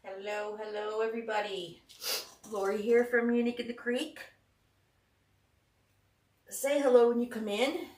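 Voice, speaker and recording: A woman's voice: fairly high pitch, fairly even intonation, measured pace, long pauses, a little mellow, recorded from a distance. A woman with a head cold hosting a live craft tutorial, chatty and greeting viewers by name. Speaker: craft shop host. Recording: roomy sound, mic set back.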